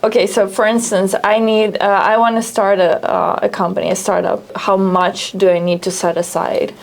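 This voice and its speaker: A woman's voice: medium pitch, level tone, moderate pace, gravelly. A woman hosting a startup interview, asking curious, direct questions and pushing for concrete numbers. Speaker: interview host.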